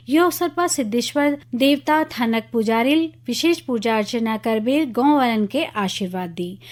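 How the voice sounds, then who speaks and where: A woman's voice: on the high side, a little mellow. A woman with a Kumaoni accent reading a scripted regional news bulletin on the radio.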